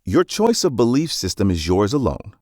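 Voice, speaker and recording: A man reading a scripted self-help audiobook summary in a measured, articulate, instructive way. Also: fairly low pitch, sing-song, darkish tone, no echo, studio quality.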